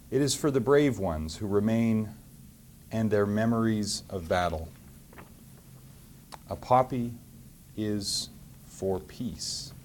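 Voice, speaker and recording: A man with a Canadian accent speaking as a mayor to schoolchildren, in a measured, simply worded way. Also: lowish voice, a little mellow, slight background noise. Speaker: mayor hosting and reading to children. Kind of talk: public talk and storybook reading to schoolchildren.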